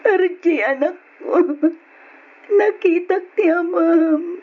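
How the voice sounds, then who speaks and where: A woman's voice: extremely high pitch, takes it slowly. A woman playing a wronged, protective mother in old radio drama style, pleading and emotional, on a muffled recording. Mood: sorrowful, gentle.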